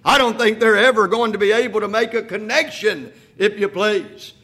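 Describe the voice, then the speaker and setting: Older male preacher, high husky voice. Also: steady tone. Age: 60s. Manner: rhetorical questions, drops to a quiet, slow delivery for emphasis; repeats "if you please" and "think about this". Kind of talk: sermon.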